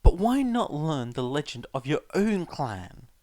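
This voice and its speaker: A knowledgeable, scolding teenage boy in a story reading, acted by a man. The voice sits higher than a grown man's talk. He lectures in exasperated disbelief. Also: very animated, measured pace, dark tone, no echo, studio quality.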